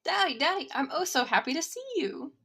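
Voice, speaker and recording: A woman voicing a sweet, gushing little daughter in a 1940s radio sitcom parody, with a husky, creaky put-on child voice. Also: high-pitched, lively intonation, fairly quick, bright, forceful tone, full character voice. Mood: gushing, innocent.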